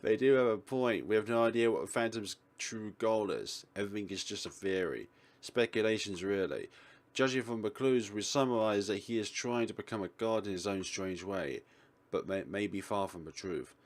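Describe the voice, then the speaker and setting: A man's voice: on the low side, moderate pace. A man playing and reading a visual novel aloud with playful asides, exclamations and chuckly commentary. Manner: playful, exclaims and laughs, acts out the narrator's lines. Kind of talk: gaming commentary, reading game text and joking asides.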